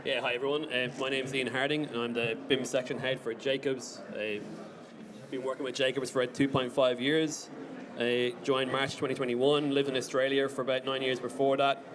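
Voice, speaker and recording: An Irish man speaking at a conference, chatty and easygoing, his pitch holding level with little rise or fall. A crowd can be heard clearly behind him. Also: moderate pace. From Ireland. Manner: relaxed, chatty self-introduction. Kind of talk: conference talk.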